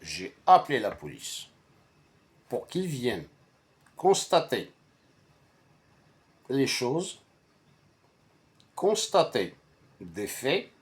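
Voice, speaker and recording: A man whose first language is Arabic, telling a personal story casually in a halting, repetitive and emphatic way. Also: medium pitch, wildly swooping pitch. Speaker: storyteller recounting a personal anecdote to friends.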